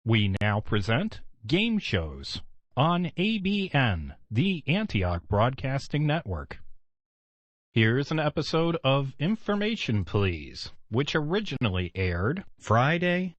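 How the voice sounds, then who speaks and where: A man's voice: medium pitch, sing-song, slow, no echo. A man reading a scripted programme introduction as a radio station announcer.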